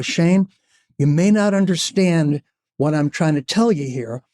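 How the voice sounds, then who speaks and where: Rambling older man, pitched a bit high. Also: a little mellow. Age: 70s. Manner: rambling, searching for words. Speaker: podcast guest, singer. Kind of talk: casual storytelling chat.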